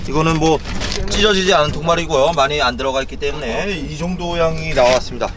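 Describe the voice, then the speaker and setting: Higher-voiced man vlogging on a boat. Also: quick pace, background noise. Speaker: host of a fishing and sea vlog. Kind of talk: on-location vlog narration and casual chat. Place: outdoors on a small boat and harbour dock.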